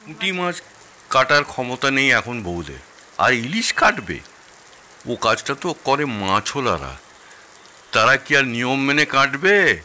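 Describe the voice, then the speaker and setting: A man's voice: medium pitch, big pitch swings, unhurried, pauses often, bright, forceful tone, heavily acted. A man acting an opinionated, nostalgic middle-aged father-in-law who loves his food, in a theatrical audio-drama style. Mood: grumbling. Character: grumbling, nostalgic elder Bengali father-in-law, fond of food.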